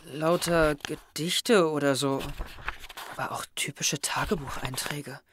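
A man's voice: a little high, measured pace, fairly bright tone, a little hiss or hum. A young man playing an earnest, hesitant, guilt-ridden teenage boy in an audio drama, with a subdued manner. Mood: sad, subdued.

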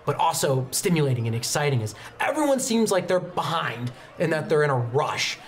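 A man in his thirties narrating a tabletop roleplaying game as game master, improvising descriptions haltingly. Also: fairly high pitch, sing-song, a little hiss or hum. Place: indoor studio set, behind a game master screen.

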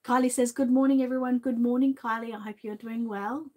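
A woman in her forties with an Australian accent, reading a storybook aloud to a toddler in a gentle, coaxing way, seated indoors close to the camera. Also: a little high, fairly even intonation, a little fast, very noisy. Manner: gentle, sing-song, coaxing. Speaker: channel host and grandmother. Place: indoor room with shelves, seated. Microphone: fixed camera at close range.

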